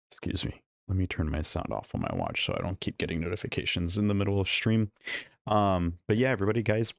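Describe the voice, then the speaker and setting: Man, hoarse from losing his voice, dry close sound, hotel room, studio mic on a laptop. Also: low voice, no echo. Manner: chatty, greets viewers one by one. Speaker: live-stream host. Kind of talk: casual live-stream chat. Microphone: studio microphone plugged into a laptop.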